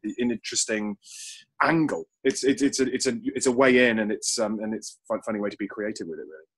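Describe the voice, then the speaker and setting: A man talks very quickly in an informal panel conversation. His voice is somewhat husky and unpolished. He rambles, repeating and restarting phrases as he works through his thoughts. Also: fairly low pitch. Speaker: audio drama writer. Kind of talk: casual panel chat. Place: remote online call.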